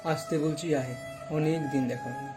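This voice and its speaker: Actor doing earnest young guy pleading in an audio drama, bit high pitched, music behind him. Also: steady tone, moderate pace, lightly acted. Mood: insistent. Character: earnest, pleading young man seeking lost friend. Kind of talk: Bengali audio drama dialogue.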